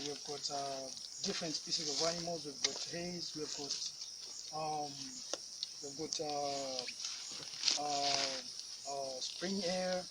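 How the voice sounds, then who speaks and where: Zambian guy interviewed outdoors, insects and noise, highish voice, hesitant casual talk. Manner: hesitant, conversational, repeats himself. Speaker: concerned citizen interviewee. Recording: noisy outdoor ambience with insects.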